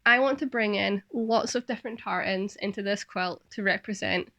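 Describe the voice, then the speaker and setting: A woman's voice: mid-range voice, moderate pace, bright, forceful tone, dull audio. A Scottish woman hosting a sewing vlog, chatting and narrating casually. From Scotland.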